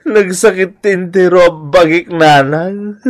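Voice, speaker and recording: An actor playing a hapless, henpecked husband, whiny and melodramatic, with a Filipino accent in a radio comedy drama. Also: male voice, high-pitched, takes it slowly. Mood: panicked, wailing.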